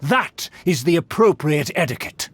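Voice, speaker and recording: Pompous high-pitched, haughty nobleman. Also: sing-song, normal speed, background noise. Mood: haughty, affronted. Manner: pompous, formal, condescending diction. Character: haughty snobbish nobleman, proud of fallen bloodline. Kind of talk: video game character acting.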